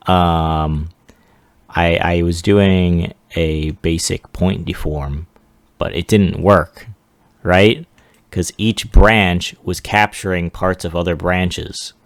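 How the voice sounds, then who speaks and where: A man giving a casual, unscripted software walkthrough as a 3D artist, rambling along in a self-deprecating way. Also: sits low, dry recording. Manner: rambling, self-deprecating, chuckles at own remarks. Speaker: 3D artist presenting a demo.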